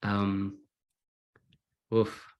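A man's voice: on the low side, completely flat delivery, drawn-out words. A man from England with a Manchester accent gone a little American, a musician chatting as a guest in a casual interview, self-deprecating and often repeating words as he talks. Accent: Manchester English, slightly Americanized.

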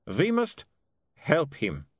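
A man voicing an earnest, kindly, oddly formal man in an audiobook, with deliberate phrasing. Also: a little high, big pitch swings, husky, no echo, studio quality, voice pushed up.

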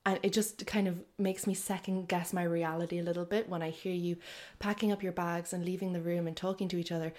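A woman's voice: lowish voice, fairly even intonation, a little fast, few pauses, darkish tone. A woman in her twenties with an Irish accent, chatting casually and telling stories animatedly as a language assistant on a podcast. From Ireland. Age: 20s.

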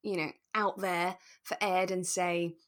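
A British woman co-hosting a relaxed chat about radio drama, expressive and enthusiastic in her delivery. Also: on the low side, a little slow. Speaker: podcast co-host and actor.

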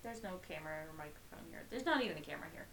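A young woman with vocal fry, co-hosting a casual, bubbly film podcast. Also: sits low, lively intonation, very fast, reverberant, crowd noise. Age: young adult. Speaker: podcast co-host. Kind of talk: casual film review chat. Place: on a bed in a bedroom.

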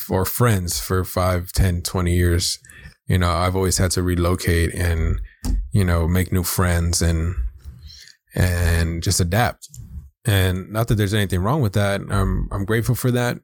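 A man's voice: low voice, no echo, studio quality. An American man in his twenties talking casually and candidly as a podcast co-host, introducing himself in a reflective way. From South Los Angeles, United States. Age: 20s.

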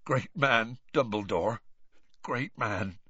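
A man voicing a huge, sentimental half-giant gamekeeper with a hoarse, croaky voice and rough rustic speech. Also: medium pitch, slow, fairly bright tone, no echo, dull audio. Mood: tearful gratitude. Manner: rustic, dropped words, blunt and sentimental.

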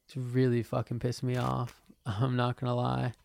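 A man's voice: mid-range voice, monotone, normal speed, vocal fry, darkish tone. A man in his twenties hosting a podcast, talking in a loose, profane, meandering way with lots of restarts. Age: 20s. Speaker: podcast host. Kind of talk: casual rambling monologue.

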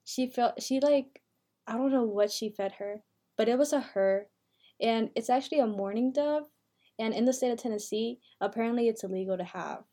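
A woman's voice: fairly high pitch, normal speed, mellow. An eighteen-year-old woman co-hosting a podcast, talking in a chatty, casual way with lots of "like" fillers. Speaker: podcast co-host, university freshman.

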